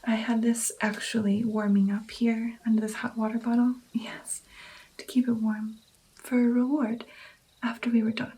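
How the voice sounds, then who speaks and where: A woman playing a doting, playful female vampire mentor in an ASMR roleplay, speaking softly and breathily, often in a whisper, coaxing and encouraging. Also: fairly high pitch, flat delivery, lightly acted, rough recording. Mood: playful, indulgent. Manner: slow, coaxing, encouraging, lingering over phrases. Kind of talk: ASMR roleplay, personal attention.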